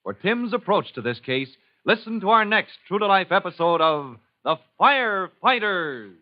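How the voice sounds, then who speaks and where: A man with a slightly high voice delivers dramatic, emphatic radio-drama announcements. The sound is dull and lo-fi, like an old broadcast. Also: big pitch swings, normal speed.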